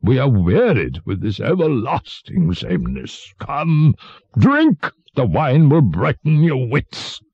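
A man voicing a fat, tyrannical, quick-tempered king in a storybook reading, pompous and imperious. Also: mid-range voice, huge pitch swings, creaky, a little mellow, husky, no echo, muffled recording, pitched above the performer's own voice, heavily acted. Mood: commanding.

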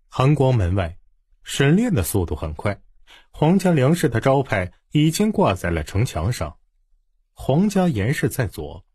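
A man narrates an audiobook in a low, markedly rough voice. His delivery is dramatic and scripted, with animated pitch movement and soft music behind it.

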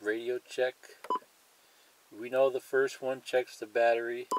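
A man's voice: mid-range voice, little pitch movement, measured pace, poor audio. A man presenting a hands-on tutorial in a plain, methodical way, explaining each step and repeating it.

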